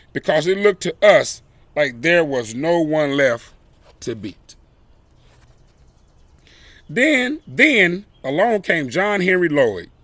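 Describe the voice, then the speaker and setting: A man reading a children's story aloud expressively, with deliberate, emphatic phrasing and dramatic pauses. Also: fairly high pitch, a bit bright, dry recording. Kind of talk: expressive read-aloud storytelling with brief asides.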